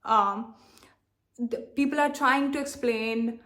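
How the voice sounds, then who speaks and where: A young woman from India with a softened, globalized Indian accent, talking candidly and defiantly straight to her viewers, repeating herself and leaning on "like". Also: a little high, takes it slowly. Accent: Indian, softened toward a global accent. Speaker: self-love coach and channel host. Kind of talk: direct-to-camera vlog monologue.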